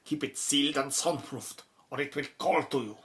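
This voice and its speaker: Audio drama: solemn, foreboding official played by an actor, formal diction, sharp bright tone. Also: medium pitch, moderate pace, natural voice. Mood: grave, urgent warning.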